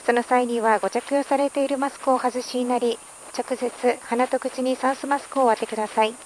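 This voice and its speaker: Fast, polite woman on cabin PA. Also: fairly high pitch, fairly even intonation, a little hiss or hum, rough recording. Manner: polite, formal rapid delivery. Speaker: cabin crew member. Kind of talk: inflight public address announcement. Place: aircraft cabin. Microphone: cabin PA handset.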